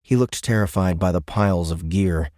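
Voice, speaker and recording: A man with a deep voice narrates an audiobook. The delivery is scripted, dramatic storytelling, recorded in a very dry studio. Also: normal speed, darkish tone, no echo.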